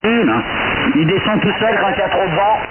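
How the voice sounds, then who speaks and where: Casting: male ham radio operator, high-pitched, chatty and repetitive, heard through very heavy radio noise. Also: lively intonation, fairly quick. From France. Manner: chatty, repeats himself, spells call signs phonetically. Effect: shortwave radio transmission. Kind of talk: ham radio contact, casual exchange. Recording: narrow, very noisy shortwave radio signal.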